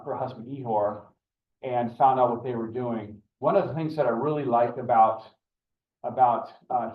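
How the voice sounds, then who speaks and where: An older man, a club member, introduces a guest speaker at a club meeting in an earnest, rambling way. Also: mid-range voice. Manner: rambling, earnest, with many fillers and restarts. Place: meeting room. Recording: echoey room, distant dull mic.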